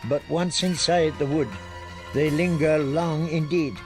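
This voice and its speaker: Male actor as solemn ancient pale lord of fantasy audiobook, dry, halting, weighty words over soft music. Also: a little high, fairly even intonation, natural voice. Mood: solemn, cryptic.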